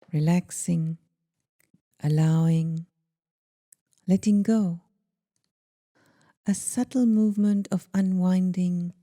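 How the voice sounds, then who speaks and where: A woman guiding a meditation in a gentle, soothing, deliberate manner, leaving pauses between phrases. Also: lowish voice. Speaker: meditation guide. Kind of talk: guided meditation.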